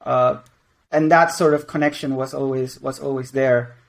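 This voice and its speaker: A man's voice: mid-range voice, fairly even intonation, moderate pace, vocal fry. Guy in his 20s from mexico n venezuela, talks loose with lots of restarts, mid voice. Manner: rambling, many restarts and fillers. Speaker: game creative director. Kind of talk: casual interview answers.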